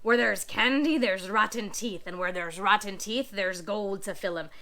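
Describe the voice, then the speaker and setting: A woman voicing a gruff, stubborn male pirate captain in a storybook read-aloud, growling pirate talk with dropped endings. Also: medium pitch, normal speed, bright, forceful tone, voice pushed down.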